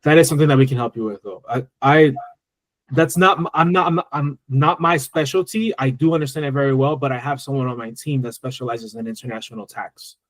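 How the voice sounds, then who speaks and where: A man's voice: medium pitch, a little fast, no room sound. A man giving tax advice in a webinar Q&A, talking loosely with many restarts. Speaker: tax strategist. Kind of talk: webinar Q&A answers. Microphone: video call.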